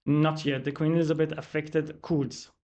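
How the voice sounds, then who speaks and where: A young man from Iran speaking English with a Persian accent as a podcast guest, explaining his culture in a measured way. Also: a little high, flat delivery, a little mellow, muffled recording. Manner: measured, explanatory, frequent "definitely" and "actually".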